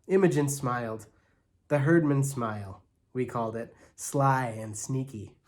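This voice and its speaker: A man reading a children's story aloud in an animated, expressive way, as a church storyteller. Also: mid-range voice, unhurried. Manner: expressive, acts out characters' lines.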